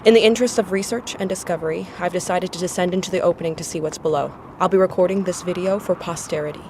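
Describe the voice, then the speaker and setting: Actress as low-voiced woman explorer on noisy recorder. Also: fairly even intonation, quick pace, a little mellow, lightly acted. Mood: cautious, deliberate. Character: woman explorer recording a video log, cautious and curious. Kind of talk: found-footage audio drama acting.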